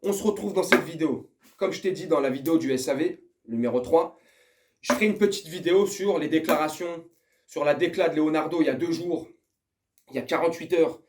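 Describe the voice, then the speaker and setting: High-voiced man ranting about football. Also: darkish tone, slight background noise. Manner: slangy, profane, addresses viewer familiarly. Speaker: football vlogger. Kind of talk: casual football rant to camera.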